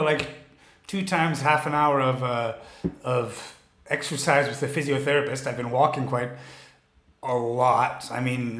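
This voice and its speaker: Wry male podcast co-host, echoey room. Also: medium pitch. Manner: relaxed and wry, with frequent fillers. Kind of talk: casual chat.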